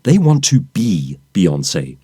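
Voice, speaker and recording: A man with a fairly low, mellow voice narrates a nonfiction audiobook in a highly animated way, his pitch swinging widely. The delivery is polished and emphatic, like a practised public speaker, with deliberate pauses for weight. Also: husky, no echo, clean studio sound. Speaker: author and professional speaker reading own audiobook.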